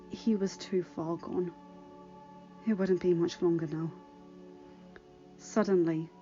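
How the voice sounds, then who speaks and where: A woman reading a story aloud as a podfic narrator, dramatic and with emphatic pauses. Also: on the low side, a little mellow, muffled recording.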